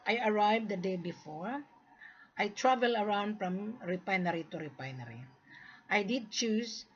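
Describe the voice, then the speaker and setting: A woman born in the Philippines who is Australian, giving an emphatic, insistent unscripted monologue in a husky voice, repeating her points as she explains. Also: mid-range voice. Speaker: gold trade seller's mandate presenting to camera. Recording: dull, lo-fi sound.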